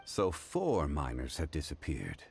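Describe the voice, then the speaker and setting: A learned gentleman from a video game with a low voice and expressive intonation. He is composed and quietly inquisitive, with formal, deliberate phrasing. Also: vocal fry, a little hiss or hum, full character voice. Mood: composed, inquiring.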